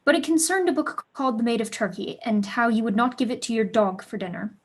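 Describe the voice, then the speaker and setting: A woman's voice: fairly high pitch, expressive, quick pace. A woman playing a precocious, passionate teenage pupil in a staged period play, eager, witty and argumentative. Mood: eager, gossipy.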